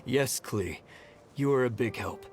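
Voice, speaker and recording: A man's voice: medium pitch, measured pace, background noise, lightly acted. A man voicing a friendly, encouraging adventurer in a video game, kindly and affable. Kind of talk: video game cutscene acting.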